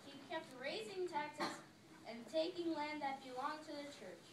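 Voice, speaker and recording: A know-it-all boy contestant voiced in a female register in an amateur scripted quiz skit. The high voice is distant and echoes around a noisy room. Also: measured pace. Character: Ryan, know-it-all male quiz contestant, matter-of-fact. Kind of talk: amateur readers' theater, scripted quiz show.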